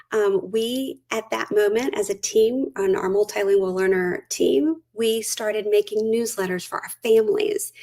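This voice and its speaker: A woman's voice: fairly high pitch, a little mellow. Upbeat woman presenting a webinar. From Brazil. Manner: upbeat, eager, personal storytelling. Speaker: curriculum lead presenting a webinar.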